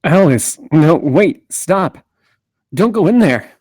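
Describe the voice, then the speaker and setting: A man's voice: a little high, expressive, slow, darkish tone, husky, dry recording, studio quality, heavily acted. A man playing a troubled drug user on the run from his nightmares, in a screenplay table read. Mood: desperate pleading. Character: troubled, drug-seeking male Rabbit fleeing a nightmare.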